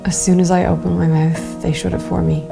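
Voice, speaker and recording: An actress playing a frightened seventeen-year-old girl in a dramatised testimony, subdued and plain in short halting sentences. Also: fairly low pitch, little pitch movement, vocal fry. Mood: subdued, hurt. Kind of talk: dramatised first-person testimony. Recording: music behind the voice.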